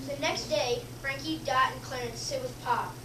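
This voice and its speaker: A young girl reads story narration from a script in a high voice. She sounds distant, in an echoey room with clear background noise. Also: fairly even intonation, moderate pace. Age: child or young teenager. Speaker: narrator in a student readers theater. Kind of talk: scripted narration read aloud.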